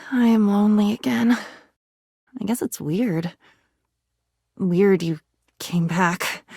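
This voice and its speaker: A woman's voice: medium pitch, vocal fry, no echo, studio quality, full character voice. A woman voicing a video game character, an unstable living diary, lonely and frantic, with a theatrical, erratic delivery. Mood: lonely, bleak. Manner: theatrical, erratic, swinging between whispers and cries.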